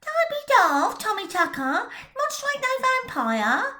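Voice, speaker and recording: A woman doing a shrill, bossy little girl. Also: wildly swooping pitch, echoey room, full character voice. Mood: scolding. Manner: sharp, scolding, fast-talking. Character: bossy, quick-tongued young girl.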